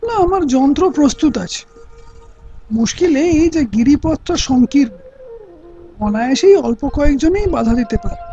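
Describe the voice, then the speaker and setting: An actor playing a proud, ruthless royal engineer with boastful, declamatory delivery in an audio play. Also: male voice, extremely high pitch, lively intonation, normal speed, long pauses, warm tone. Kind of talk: Bengali audio play acting. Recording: dull lo-fi audio play, faint music.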